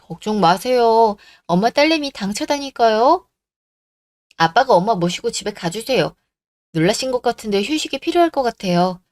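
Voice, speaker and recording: Radio drama: woman plays plucky young daughter, assertive, sarcastic edge, higher voice, dry sound. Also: animated, normal speed, a little mellow, dry recording, natural voice. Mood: reassuring. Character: bold, defiant young bride-to-be, plucky daughter. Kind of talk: radio drama story acting.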